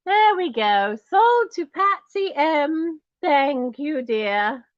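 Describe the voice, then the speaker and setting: Posh British grande dame in diamonds, played by a woman; slow, high, swooping and snooty, calls everyone darling. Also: lively intonation, dry recording, dull audio, full character voice. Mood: haughty. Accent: put-on posh British. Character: snobbish posh lady in wig and diamonds. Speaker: auction host. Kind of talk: in-character livestream auction.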